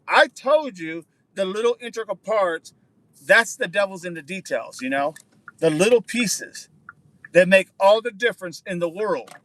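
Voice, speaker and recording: High-voiced man chatting on a livestream. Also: bright, forceful tone, no echo, poor audio. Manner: chatty, repeats words for emphasis. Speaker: livestream host. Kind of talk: casual livestream chat, answering viewer comments.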